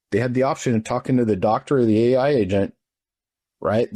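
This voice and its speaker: A man in his fifties talking casually as an interview guest, blunt and informal, often checking his points with a quick "right?". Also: medium pitch, warm tone, dry recording, clean studio sound. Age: 50s. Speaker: interview guest, front desk trainer. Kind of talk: casual, animated opinion talk.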